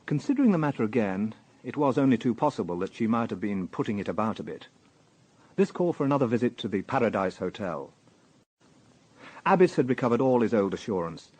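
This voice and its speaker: Husky, wry British police detective. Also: male voice, fairly low pitch, very animated, a little fast, a little mellow. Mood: reflective. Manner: dry, probing, conversational. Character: wry, shrewd, easygoing male police detective. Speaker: actor and narrator. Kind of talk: naturalistic radio drama with first-person narration.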